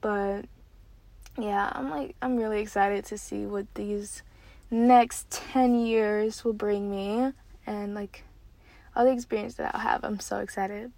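A nineteen-year-old woman hosting her own podcast, chatting casually and excitedly with lots of fillers from a parked car. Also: medium pitch, takes it slowly, no room sound. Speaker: podcast host. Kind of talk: casual solo podcast chat.

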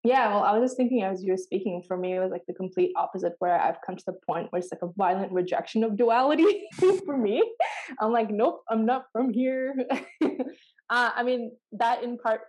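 A woman's voice: medium pitch, a little fast, darkish tone, low-quality recording. A woman whose first languages are Pashto and Farsi, talking earnestly in a reflective podcast conversation and often restarting her sentences. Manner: earnest, searching, many restarts. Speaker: podcast co-host.

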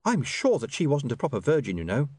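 A man's voice: mid-range voice, wildly swooping pitch, fast talker, a little mellow, husky, no room sound, voice pushed up. A man voicing a prim, spirited, shaken young woman in a story. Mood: indignant.

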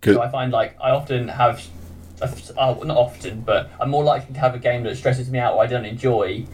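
A man's voice: mid-range voice, steady tone, a little fast, darkish tone, low-quality recording. An English man, a podcast guest who streams games, talking in a chatty, self-deprecating way and laughing often. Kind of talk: casual chat.

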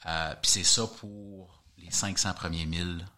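Québécois guy, deep voice, lots of stammery repeats, chatty guest. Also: unhurried. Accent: Québécois French. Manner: animated, chatty, repeats words while searching. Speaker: guest hiker. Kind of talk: casual interview storytelling.

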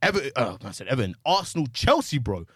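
A man hosting a casual football podcast, slangy and animated, calling everyone bro. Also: mid-range voice, fast, husky, no room sound, studio quality. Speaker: podcast host.

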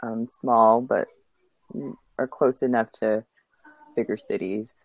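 Very deep, creaky-voiced woman guest. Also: steady tone, takes it slowly, a little mellow, no echo, poor audio. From Canada, born in Uganda. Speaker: podcast guest. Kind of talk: casual conversational interview.

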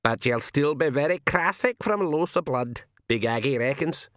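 An old Scottish pixie, acted out by a man, bossy and folksy, speaks in blunt, coaxing dialect. The voice swings up and down in pitch, recorded close and dry, as a storybook character. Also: expressive, moderate pace, a bit bright, dry recording. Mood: bossy, matter-of-fact. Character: old male pixie, bossy, folksy spokesman for his chief. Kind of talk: audiobook character voice.

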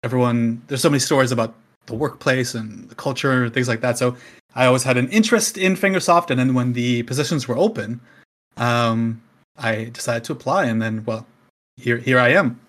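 A man from Canada with a Canadian accent, chatting easily as a podcast guest, laughing often and filling pauses with ums. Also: medium pitch, fairly bright tone. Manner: easygoing, chatty, laughs often, many fillers. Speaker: game company community staff guest. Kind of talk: casual podcast chat.

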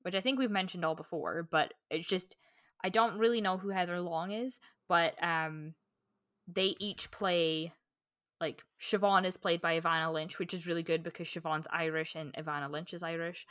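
A woman with a bright voice on the lower side talks casually about books as a podcast co-host. She is candid and sweary, often interrupting herself mid-thought. The recording is close and dry. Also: fairly even intonation, moderate pace, dry recording. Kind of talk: casual book chat.

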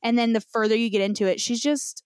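A woman's voice: fairly high pitch, fast, darkish tone, clear, resonant tone, no echo, studio quality. A woman hosting a podcast in casual conversation, speaking informally and emphatically with some slang. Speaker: podcast host.

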